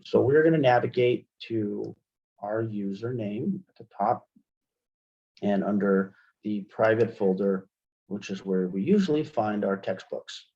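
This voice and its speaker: Middle-aged man, mellow and slightly muffled. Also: medium pitch. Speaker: webinar presenter, college media specialist. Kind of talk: software tutorial webinar. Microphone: headset microphone on video call. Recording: dull, lo-fi webinar headset audio.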